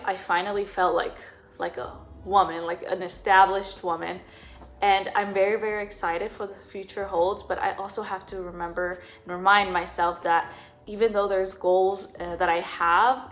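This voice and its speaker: A woman in her twenties talking earnestly in a personal vlog. She often repeats words for emphasis. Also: medium pitch. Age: 20s. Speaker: vlogger.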